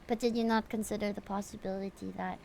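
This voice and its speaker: A woman reading aloud the formal, measured lines of a solemn, defiant cosmic game character. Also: medium pitch, steady tone, vocal fry, darkish tone, a little hiss or hum, close to an everyday voice.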